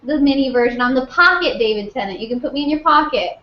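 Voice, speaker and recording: A young woman guest at a convention panel, chatting casually, animated and jokey while giving earnest advice. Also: high-pitched, quick pace. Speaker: guest, video parody creator. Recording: dull, roomy audio with faint hum.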